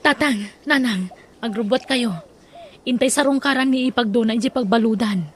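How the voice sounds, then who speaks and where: An actress playing an earnest, respectful young daughter in an Ilocano radio drama, emotive, with an Ilocano accent. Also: female voice, fairly high pitch, normal speed, lightly acted. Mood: earnest, concerned.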